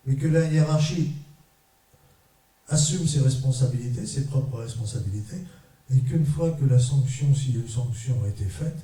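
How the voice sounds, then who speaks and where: A middle-aged man from France giving a conference talk to an audience as a trade unionist, measured and emphatic, in short repeated phrases. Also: mid-range voice, mellow. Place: large echoey parish hall. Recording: echoey hall, mic far off.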